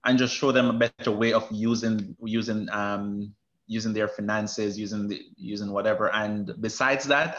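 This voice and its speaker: A man's voice: on the low side, a bit bright, muffled recording. A man from Jamaica with a Jamaican accent, a guest talking casually in an interview, often restarting his sentences. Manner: hesitant, with many restarts and fillers. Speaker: guest, retirement specialist.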